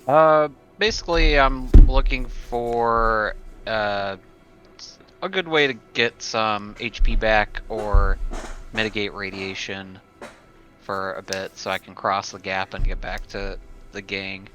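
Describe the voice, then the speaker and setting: A man's voice: fairly low pitch, slow, music in the background. A man taking part in a tabletop game as a player, chatting casually and tentatively as he thinks out his choices aloud.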